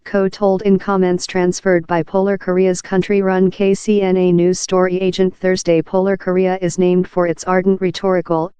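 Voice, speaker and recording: A woman's voice: on the low side, little pitch movement, moderate pace, hardly any pauses, dark tone, clear, resonant tone, no room sound. A woman narrating scripted news in a stiff, mechanical reading manner.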